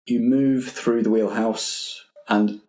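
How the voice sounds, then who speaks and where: A man's voice: medium pitch, steady tone, slow, darkish tone. A man acting as game master, narrating a tabletop roleplaying game in descriptive scene-setting language. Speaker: game master and podcast host. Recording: roomy sound with music underneath.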